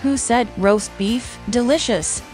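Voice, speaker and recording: A woman's voice: a little high, takes it slowly, over loud music. A woman hosting a quiz with scripted, presenterly narration in short prompts. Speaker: quiz host.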